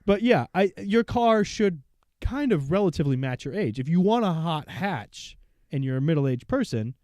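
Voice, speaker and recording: Brief: man in his thirties, mellow, wry podcast co-host, dry close mic. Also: on the high side, sing-song, normal speed, no echo. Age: 30s. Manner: wry, self-deprecating joker. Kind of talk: casual car podcast chat.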